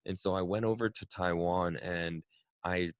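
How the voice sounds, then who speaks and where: Deep-voiced male podcast host, reflective. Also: steady tone, normal speed, clean tone, no room sound, studio quality. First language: Cantonese. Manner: reflective, frequent "right?" tag questions. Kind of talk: casual conversational interview.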